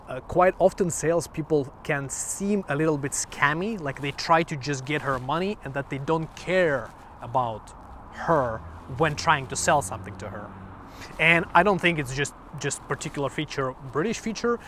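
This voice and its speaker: A man's voice: a little high, fairly bright tone, slight background noise. Rambling man vlogging outdoors. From Finland. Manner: rambling, restarts often, laughs between points. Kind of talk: casual talk to camera.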